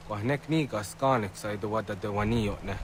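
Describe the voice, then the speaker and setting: An actor playing a serious villager in dramatic dialogue from a historical video game. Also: male voice, fairly low pitch, quick pace, a little hiss or hum, lightly acted. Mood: urgent.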